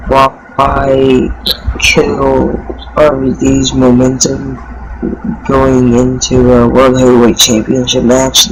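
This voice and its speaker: A man on a casual panel giving blunt, halting opinions. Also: mid-range voice, background noise. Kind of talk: casual chat.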